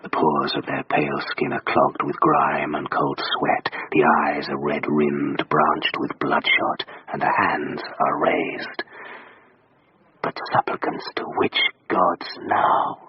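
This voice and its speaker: An actor playing a railway station as an ominous spirit, narrating poetically in a slow, solemn, hushed manner for radio drama. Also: male voice, extremely low pitch, little pitch movement, slightly rough, exaggerated voice. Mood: brooding. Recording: muffled radio recording, faint noise.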